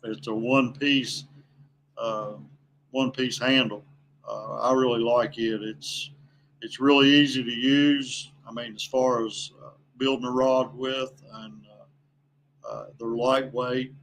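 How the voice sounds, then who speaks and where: A man speaking as a podcast guest in a casual, folksy, halting and plainspoken way. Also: mid-range voice, a bit bright, slight background noise. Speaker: podcast guest, veteran angler. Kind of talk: casual chat.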